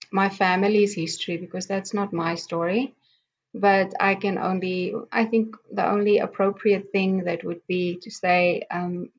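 Woman, South African accent, Afrikaans native, middle-aged, halting reflective storytelling, muffled lo-fi audio. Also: lowish voice. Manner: slow, halting, many ums and restarts. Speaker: guest. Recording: dull lo-fi sound.

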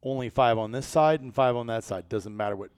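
A man's voice: medium pitch, fairly quick, a little mellow, smooth, no echo. An American man from rural Iowa hosting a casual podcast, telling stories in a rambling, emphatic way. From rural Iowa, United States. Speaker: podcast host and company founder.

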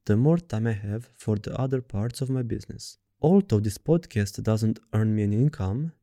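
A man with a Romanian accent hosting a podcast, reading a word-for-word script in an even, deliberate way. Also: on the low side, no echo, studio quality. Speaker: podcast host.

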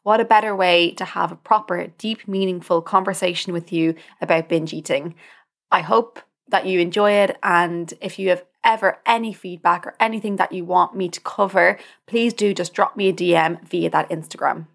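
A woman's voice: on the low side, normal speed, vocal fry. A young adult woman with an Irish accent hosting a podcast, speaking informally and directly to the listener in a conversational monologue. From Ireland. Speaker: podcast host, dietitian.

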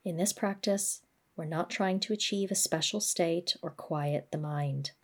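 A woman gently guiding a body scan meditation, speaking in a measured, instructional way. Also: deep voice. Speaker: mindfulness practice guide.

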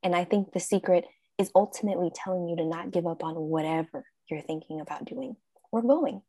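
A woman's voice: fairly low pitch, a little fast, no echo. A woman life coach, a guest in an interview, talking casually and acting out her own thoughts in quotes. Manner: animated, acts out her own quoted thoughts, frequent laughs.

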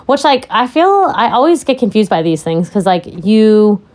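Loud-voiced woman chatting about true crime. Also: big pitch swings, normal speed. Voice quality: loud, deep voice. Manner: chatty, laughing asides. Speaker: podcast co-host. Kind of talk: casual true crime chat.

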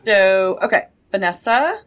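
A woman's voice: mid-range voice, sing-song, unhurried, fairly bright tone. A woman hosting a podcast, with an enthusiastic, emphatic way of talking and scripted promo reads. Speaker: podcast host.

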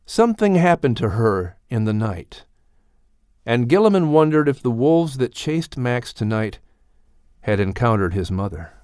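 A man reading scripted story narration for an audiobook in an expressive way, close to the mic. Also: medium pitch, unhurried, clean tone, no room sound.

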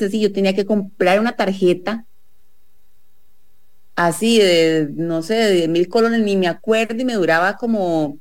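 A woman's voice: medium pitch, a little mellow. A woman in her thirties, a guest on a radio talk show, chatting and telling stories with lots of fillers. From Colombia, Medellín. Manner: chatty storyteller, many "o sea" fillers. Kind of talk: casual interview chat. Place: radio studio.

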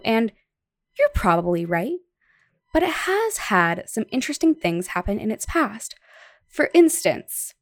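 Animated woman, dry close sound. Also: medium pitch, no echo. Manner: playful, teasing. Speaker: podcast co-host. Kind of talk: chatty scripted segment.

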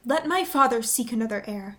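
A woman playing a spirited, loyal young noblewoman in a Shakespearean radio play, earnest and expressive. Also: a little high, fairly quick. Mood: tender, resolute.

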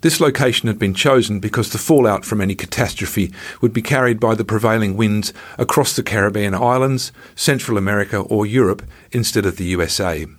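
A man reading his own scripted travel memoir in a measured storytelling manner with dry irony. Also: fairly low pitch.

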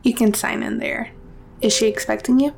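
An actress playing a brisk, polite apartment front desk attendant in an audio drama, businesslike in manner. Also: female voice, on the high side, fairly even intonation, creaky, darkish tone, slight background noise. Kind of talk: naturalistic audio drama acting.

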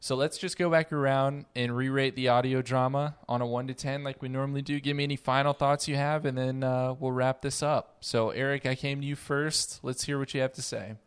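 A man's voice: mid-range voice, flat delivery, brisk, few pauses, smooth. A man hosting a casual roundtable fan discussion, talking with enthusiasm and emphasis. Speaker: podcast host.

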